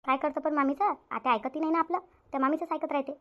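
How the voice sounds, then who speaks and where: Woman acting a rapid-talking, resigned village sister-in-law. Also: sits high, steady tone, narrow, tinny audio, close to an everyday voice. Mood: resigned worried. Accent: rural Maharashtra, Varhadi Marathi. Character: harried elder village sister-in-law, resigned, dutiful, accommodating. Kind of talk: domestic cartoon story dialogue.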